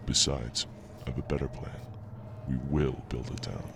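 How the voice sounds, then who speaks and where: An actor playing an obsessive visionary inventor and devoted husband in an audio drama, with a grave, measured delivery and a gravelly voice over a noisy recording. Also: male voice, a bass voice, flat delivery. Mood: fervent. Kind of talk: audio drama acting, partly recorded log narration. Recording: noisy amateur podcast recording.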